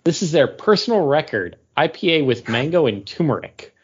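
A man co-hosting a podcast, talking casually in a hesitant, halting way. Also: a little high, very animated, husky, muffled recording. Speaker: podcast co-host. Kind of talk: casual drink review.

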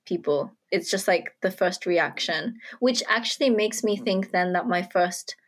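A woman in casual podcast chat, talking in halting bursts with frequent restarts. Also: on the low side.

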